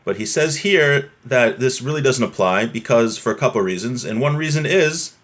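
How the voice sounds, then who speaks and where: A man's voice: medium pitch, expressive, normal speed, fairly bright tone. A man giving an informal religious lesson, reading from a text and explaining it conversationally with everyday examples and check-in questions. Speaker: religious teacher.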